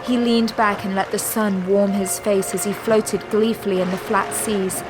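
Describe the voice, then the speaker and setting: A woman's voice: medium pitch, flat delivery, normal speed, lightly acted, poor audio. A woman giving dramatic, scripted narration as the storyteller of an audio drama. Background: music.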